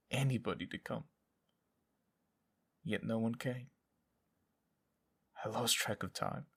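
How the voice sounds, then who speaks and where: An actor playing a haunted, loyal soldier who survived captivity, speaking in a halting, confessional way in an audio drama. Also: male voice, fairly low pitch, creaky, fairly bright tone, full character voice.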